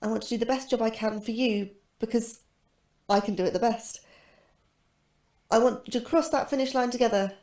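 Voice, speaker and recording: Sci-fi drama lead: woman acting an earnest, overachieving young British astrobiologist, lightly pitched, mellow. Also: moderate pace, pauses often, natural voice. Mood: earnest, confident. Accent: British English. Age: young adult. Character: ambitious, self-assured, anxious young woman astrobiologist.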